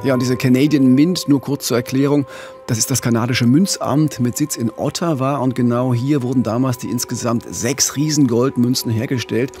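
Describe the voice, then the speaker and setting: A man's voice: mid-range voice, fairly even intonation, normal speed, darkish tone, faint music behind. A middle-aged man co-hosting a true-crime podcast in a conversational narrating style, on a studio microphone. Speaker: podcast co-host. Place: small radio studio. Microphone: studio microphone with pop filter, headphones.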